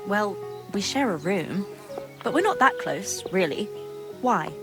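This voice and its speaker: A woman's voice: mid-range voice, very animated, unhurried, over music. A woman playing a gossipy, guarded young monastery maid in a medieval video game drama, secretive and earthy in her talk. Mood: guarded, nervous. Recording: clear background ambient noise.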